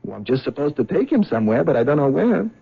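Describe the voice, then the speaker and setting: A jittery cab driver who stammers, apologizes and rambles, with a lively, rising and falling voice. The sound is dull and lo-fi, like an old radio broadcast. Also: male voice, mid-range voice, fast, vocal fry, slightly rough, heavily acted. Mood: nervous. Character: nervous, talkative male cab driver. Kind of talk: 1970s radio drama acting.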